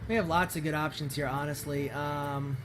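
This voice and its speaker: Hoarse man streaming a card game. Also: fairly even intonation, moderate pace, music in the background. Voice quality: voice not very deep, sounds high-pitched. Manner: thinks aloud with many ums and restarts. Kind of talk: casual gameplay commentary.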